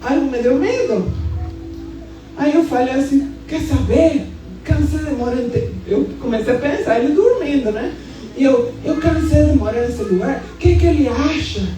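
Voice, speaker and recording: Very high-pitched Brazilian woman preaching, echoey hall. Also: normal speed. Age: 50s. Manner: animated, emphatic, colloquial. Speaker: pastor. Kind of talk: charismatic church preaching with personal storytelling. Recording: echoey hall, distant sound, music underneath.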